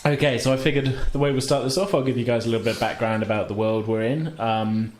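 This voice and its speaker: A man's voice: medium pitch, fairly even intonation, quick pace, darkish tone. A man hosting a tabletop role-playing game as game master, narrating in a casual, loosely improvised way. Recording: noisy room ambience.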